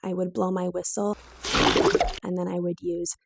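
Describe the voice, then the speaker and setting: A woman's voice: on the low side, level tone, moderate pace, creaky, heavy background noise. A woman in her twenties with a weak, strained, breaking voice, telling her own story to camera in a candid, lightly self-mocking way. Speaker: vlogger.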